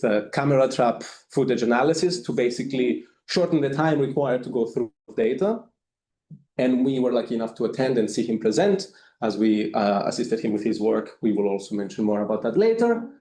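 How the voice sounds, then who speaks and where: A man presents his volunteer work in an unhurried, halting way, with plenty of fillers. His voice is mellow and sits at a middling pitch. The room around him is echoey. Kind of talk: presentation talk.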